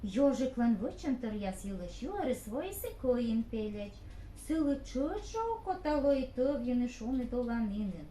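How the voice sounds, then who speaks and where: A woman narrating a fairy tale for a puppet show with slow, expressive telling. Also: fairly high pitch. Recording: echoey room with faint hiss.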